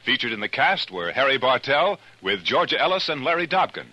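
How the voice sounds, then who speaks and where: A man's voice: medium pitch, sing-song, brisk, sharp tone, dry recording, muffled recording. An American man reading scripted announcements in a 1950s radio style. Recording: vintage radio broadcast. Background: music.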